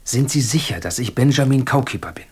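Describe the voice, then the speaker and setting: An actor playing a bewildered, wry, wealthy Englishman in a German radio drama, musing aloud in an animated manner. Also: medium pitch, brisk, natural voice. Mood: searching.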